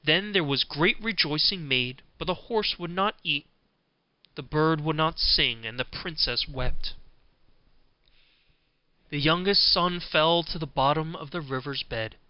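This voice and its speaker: A man narrating a scripted fairy tale as an audiobook, in a measured storybook manner. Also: fairly high pitch, clean tone, no echo, highs cut off.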